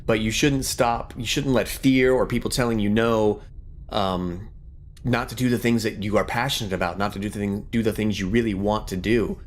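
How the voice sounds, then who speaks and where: A man's voice: fairly low pitch, fairly even intonation, moderate pace. A middle-aged man giving casual, reflective gaming commentary in an earnest, rambling way. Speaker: gaming YouTuber.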